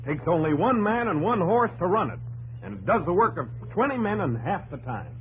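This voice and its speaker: An actor playing a confident, businesslike American inventor pitching his machine with assertive ease in an old radio drama. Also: sing-song, moderate pace, a little hiss or hum, rough recording. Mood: confident, brisk. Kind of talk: 1950s radio drama acting.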